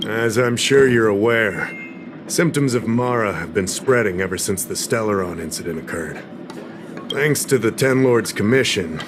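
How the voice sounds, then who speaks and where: A man's voice: fairly low pitch, noisy background, lightly acted. A man playing a dutiful male government official in a video game, formal, deferential and explanatory. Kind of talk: video game cutscene dialogue.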